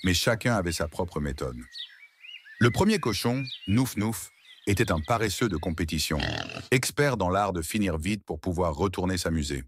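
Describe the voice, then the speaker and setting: A man narrating a scripted children's story with expressive, playful storybook delivery. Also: low-pitched, normal speed, a little hiss or hum.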